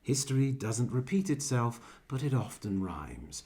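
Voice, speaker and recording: A flippant male politician in a radio satire, with a mid-pitched voice that swings widely. He is pompous and glib, patronising everyone at the table with breezy confidence. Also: sing-song, unhurried, warm tone. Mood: smug, condescending. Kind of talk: satirical radio play acting.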